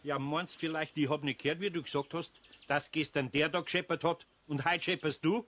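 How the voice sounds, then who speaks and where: An actor playing a gruff but kindly older craftsman in a children's audio drama, folksy and blustering, with a Bavarian accent. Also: a little high, steady tone, brisk, a bit bright. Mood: scolding.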